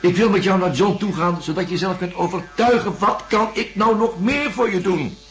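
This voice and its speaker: An actor playing an insistent, placating psychiatrist in a radio drama, reasoning and coaxing in speech. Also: male voice, high voice, moderate pace, natural voice. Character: male psychiatrist, placating and insistent, defending his methods.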